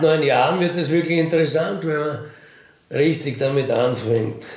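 Slow, creaky-voiced Austrian man. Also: fairly high pitch, darkish tone. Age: middle-aged or older. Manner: matter-of-fact, colloquial, unapologetic. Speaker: ex-convict interviewee telling his life story. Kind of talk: candid conversational recollection.

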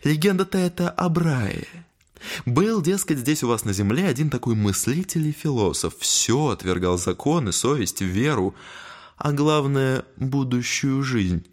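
Man doing a shabby gentleman devil, chummy n teasing, medium pitch, audio play. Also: expressive, measured pace, lightly acted. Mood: playful, confiding. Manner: urbane, familiar, teasing. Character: genteel, shabby male devil, chatty and ingratiating. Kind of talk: dramatic audio play acting.

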